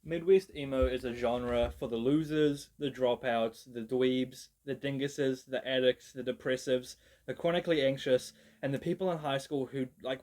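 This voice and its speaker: A young New man talks through a music genre in a loose, rambling vlog style. He mocks himself, swears freely and leans on "like" as a filler. His pitch sits right in the middle for a man. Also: a little mellow. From New Zealand. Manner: rambling, self-mocking, swears, many "like" fillers. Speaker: video creator. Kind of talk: casual genre breakdown.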